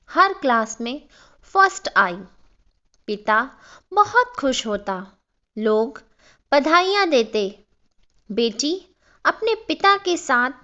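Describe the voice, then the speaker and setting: High-pitched woman narrating, slow and lilting. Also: fairly bright tone. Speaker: storyteller, channel narrator. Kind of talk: scripted narration of an emotional moral story. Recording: dull, lo-fi sound.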